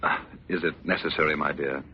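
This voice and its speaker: An actor playing a sardonic crippled husband with political ambitions, speaking with formal, mocking politeness in 1940s radio drama style. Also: male voice, very deep voice, steady tone, fairly quick, slightly rough. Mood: weary, grudging. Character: bitter, sardonic crippled husband running for governor. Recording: dull vintage radio recording, faint hiss.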